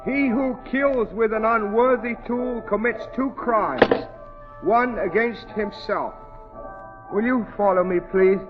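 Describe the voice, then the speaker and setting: An actor playing a polite, deferential Chinese go-between in a 1940s radio drama, speaking formally and sententiously. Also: male voice, high-pitched, slow. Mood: courteous, formal. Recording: dull 1940s radio recording, reverberant, music behind.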